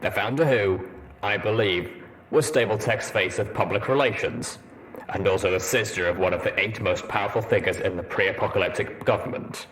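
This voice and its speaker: A man voicing a stern, suspicious armored soldier pony with a deep rumbling voice and a wry, deadpan manner in a story reading. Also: moderate pace, slight background noise, lightly acted, poor audio. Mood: wry suspicion.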